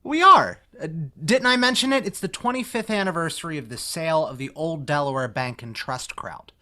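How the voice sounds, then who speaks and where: Bright, animated, idealistic young man, played by an actor. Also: on the high side, a little fast. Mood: explaining, sheepish. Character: Bobby, idealistic young man, evasive about commitment. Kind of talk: musical theatre table read. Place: recorded remotely online.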